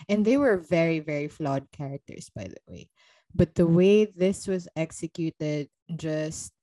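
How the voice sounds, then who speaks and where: A woman's voice: medium pitch, a little mellow, no echo. A woman co-hosting a book podcast, chatting casually with blunt, emphatic opinions and a ready laugh.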